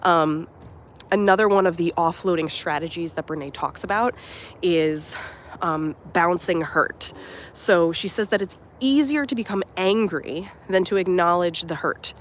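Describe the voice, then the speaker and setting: A woman's voice: lowish voice, unhurried, slight background noise. Earnest young woman, slightly nasal with a cold. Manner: warm, earnest, reflective, many fillers like "um" and "like". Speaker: life coach and channel host. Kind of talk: casual live-stream motivational talk while walking. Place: outdoors at a resort at sunrise.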